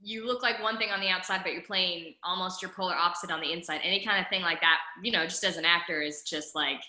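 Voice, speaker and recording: Woman, very bright voice, lowish pitch, eager and loose, echoey room. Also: steady tone, fast talker. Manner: enthusiastic, loose with fillers. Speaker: actor in the table read. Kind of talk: casual video call discussion.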